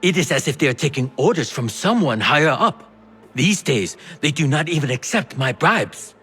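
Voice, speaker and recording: Theatrical sly merchant, swooping pitch. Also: male voice, big pitch swings, normal speed, sharp tone, slightly rough, full character voice. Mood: wry, scheming. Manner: theatrical, teasing, playful, wry. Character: sly, theatrical male merchant and smuggler, affectionate teasing. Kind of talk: video game cutscene acting. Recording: music behind the voice.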